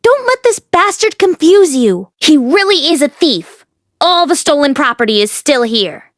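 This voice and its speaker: Woman as a hot-headed, high-voiced indignant young man. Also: very animated, a little slow, dry recording, studio quality. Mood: incensed. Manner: sputtering, accusing.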